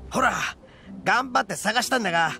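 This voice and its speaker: Brash, wildly animated young oni boss. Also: male voice, high voice, a little fast, a little hiss or hum, exaggerated voice. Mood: sheepish, friendly. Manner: cocky, rough street talk, blustering. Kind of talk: anime game voice acting.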